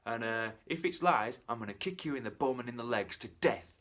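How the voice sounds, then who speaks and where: A man's voice: medium pitch, lively intonation, fairly quick, a bit bright, natural voice. A man voicing a hot-tempered king who blusters and makes silly threats. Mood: blustering.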